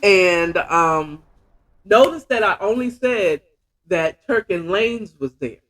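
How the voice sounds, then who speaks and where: Slow-talking woman with an American accent, storytelling. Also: medium pitch, lively intonation, a bit bright, rough recording. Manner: conversational, acts out dialogue, asks rhetorical questions. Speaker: podcast host reviewing a book. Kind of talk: casual storytelling book commentary.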